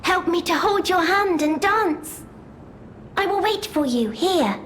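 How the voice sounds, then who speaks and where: Slow, high-pitched childlike doll girl. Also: lively intonation, a bit bright, slight background noise, exaggerated voice. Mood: innocent, pleading. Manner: speaks of herself by name, pleading, naive. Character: childlike wistful doll girl longing for freedom. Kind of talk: scripted video game character acting.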